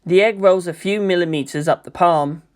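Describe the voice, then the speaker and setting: A man's voice: high-pitched, normal speed. A man reading scripted fiction aloud as a storyteller, in the style of an audiobook narrator.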